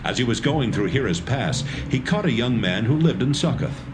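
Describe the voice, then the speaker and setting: A man narrating a dramatised scripture reading with a measured storytelling delivery. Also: medium pitch, animated, noisy background.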